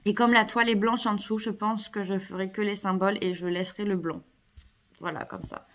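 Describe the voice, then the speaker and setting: A woman's voice: medium pitch, fairly even intonation, a little fast, fairly bright tone. A woman with a cold chatting casually through a craft unboxing at home, hesitant, with many fillers and self-corrections. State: has a cold. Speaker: craft vlogger.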